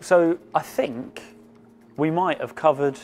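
A man's voice: fairly high pitch, takes it slowly, a little mellow, soft background music. A man with an inquisitive, conversational manner, interviewing his guide on a factory tour. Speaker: interviewer and commentator.

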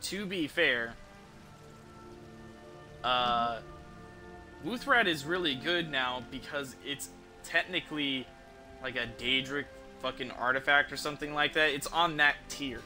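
A man's voice: medium pitch, slow, pauses often, sharp tone. A young man giving casual, profane video game commentary while chatting with his stream viewers. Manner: profane, informal slangy talk. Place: indoor room, streaming from a desk. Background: video game music.

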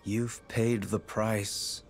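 An actor playing a cold, menacing young man who lectures slowly and condescendingly. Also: lowish voice, little pitch movement, faint music behind. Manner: slow, condescending warnings.

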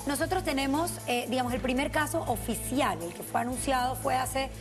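Poised young host, high voice. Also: female voice, a little fast, music in the background. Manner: poised, long framed questions. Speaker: morning show host. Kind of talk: TV interview questions. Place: TV studio.

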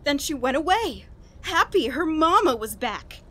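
An actress playing a guilt-ridden, unraveling woman in a radio horror play, telling her story in a halting, dramatic way. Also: high voice, big pitch swings, bright, forceful tone, full character voice. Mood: tense, recounting. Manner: halting, repeating words, breaking into nervous laughs and gasps. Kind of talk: dramatic first-person horror monologue in old-radio style.

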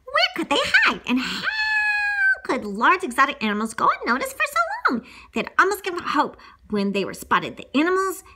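A woman's voice: high-pitched, huge pitch swings, moderate pace, very noisy. A woman reading a children's story aloud in a playful, exclamatory way, with ad-libs along the way.

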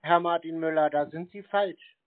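A man's voice: a little high, steady tone, moderate pace. A man on a phone line answering a telemarketer, stubborn and acting clueless. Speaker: call recipient baiting a telemarketer. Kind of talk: phone conversation. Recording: narrow tinny phone line.

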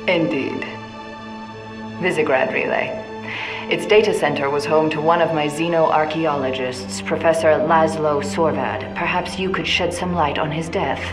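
An actress playing a cold, imperious senior scientist in a video game cutscene, speaking in clipped, commanding sentences. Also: female voice, deep voice, unhurried. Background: game score music.

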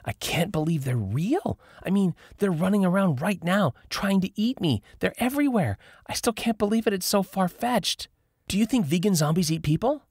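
Guy putting on a rambly naive girl for an audiobook, way up high, sassy and incredulous. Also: lively intonation, moderate pace, a little mellow, breathy edge, dry recording, studio quality, full character voice. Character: excitable, chatty, naive young woman barista.

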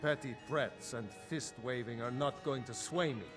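An indignant shopkeeper from a fantasy game, voiced by a man, speaks at a medium pitch. He is dismissive and scornful, and music plays clearly behind him. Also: normal speed, natural voice. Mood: scornful, defiant. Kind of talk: video game dialogue.

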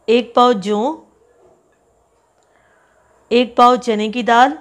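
Woman, cooking tutorial host, chatty, instructive, fairly high voice, repeats ingredient lists. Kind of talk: home cooking tutorial voice-over. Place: home kitchen.

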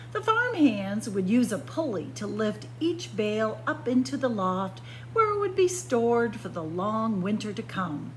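A woman's voice: medium pitch, a little hiss or hum, rough recording. A woman reading a children's picture book aloud like a story-time librarian, expressively, with dramatic emphasis on key words.

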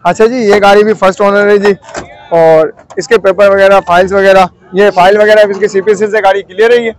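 A man's voice: sits high, steady tone, fairly quick. A man with a Pakistani Urdu accent interviews a seller at an outdoor car market, politely repeating each answer back to the camera. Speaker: vlogger touring a car market. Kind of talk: walkaround car review interview.

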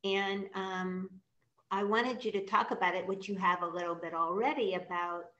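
A woman in her sixties from the Midwest, interviewing a guest over a video call, halting with many ums and restarts. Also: medium pitch, steady tone, sharp tone, lo-fi sound. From American Midwest. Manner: hesitant, many restarts and ums, asks long questions. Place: room with brick wall behind.

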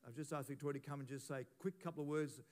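Relaxed pastor. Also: male voice, a little high. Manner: relaxed, informal, asks quick questions. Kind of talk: leading a church service. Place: church hall.

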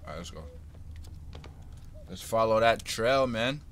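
A man's voice: lowish voice, expressive, a little slow. A man giving casual, slangy, profane commentary while playing a video game, reacting to what happens.

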